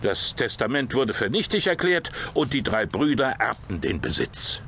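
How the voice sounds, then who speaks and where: A male actor playing a sober official who briefs a priest on a case in an audio drama, measured and slightly hesitant. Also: medium pitch, big pitch swings, fairly bright tone, a little hiss or hum. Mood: measured, explanatory. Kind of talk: German audio drama dialogue.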